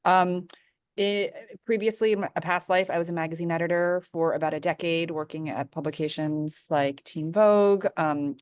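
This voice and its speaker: A woman's voice: fairly low pitch, normal speed, darkish tone, smooth, no echo, clean studio sound. A woman from New York introducing herself on a video-call panel from her office, in an upbeat, chatty way with frequent fillers. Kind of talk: conversational self-introduction.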